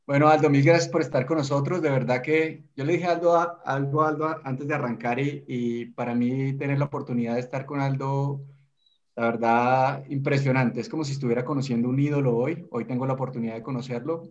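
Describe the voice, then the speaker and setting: A man's voice: mid-range voice, steady tone, a little fast. A man hosting a live webinar over a video call, speaking with eager enthusiasm and stumbling repetitions. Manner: enthusiastic, admiring, repeats words. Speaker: host. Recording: dull lo-fi video-call audio.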